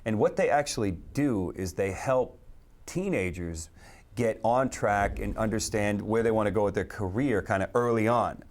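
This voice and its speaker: A man from a web design company talking casually in an interview about his work and clients, in a relaxed businesslike way. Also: lowish voice, moderate pace. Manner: relaxed, businesslike, uses sports metaphors.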